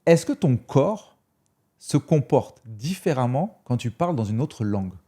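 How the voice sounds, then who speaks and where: Lively, expressive male podcast host. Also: mid-range voice. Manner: expressive, chatty, quick to laugh. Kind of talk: casual interview chat.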